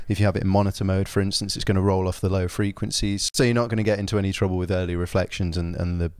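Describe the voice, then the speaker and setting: A man giving an informal spoken product review, explaining things in a measured, understated way. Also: deep voice, flat delivery, darkish tone, smooth, no room sound.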